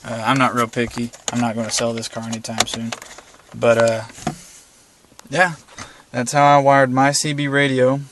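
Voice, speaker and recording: A man's voice: mid-range voice, level tone, moderate pace, noisy background. A man giving a casual, rambling walkthrough from inside his car, filming with a handheld camera and talking with lots of "uh" fillers. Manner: rambling, many "uh" fillers, plain-spoken. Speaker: video maker showing his car setup.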